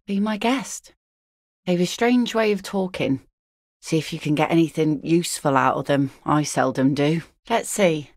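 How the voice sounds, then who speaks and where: A woman's voice: low-pitched, moderate pace, darkish tone, dry recording. An actress playing a weathered net picker and mother in a video game, dry and plain-spoken. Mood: dry, wry. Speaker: fisherwoman and mother.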